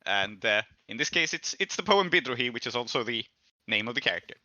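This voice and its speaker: A man with a strikingly bright, mid-pitched voice steers a casual tabletop game conversation as game master. The sound is dry and a little band-limited. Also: lively intonation, fast talker, no room sound, muffled recording. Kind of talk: casual discussion among tabletop players.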